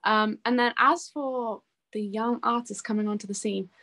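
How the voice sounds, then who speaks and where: A woman's voice: a little high, steady tone, normal speed, sharp tone. A woman in her twenties chatting casually in an interview, effusive and self-deprecating, with a giggly way of talking. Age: 20s. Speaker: singer-songwriter being interviewed.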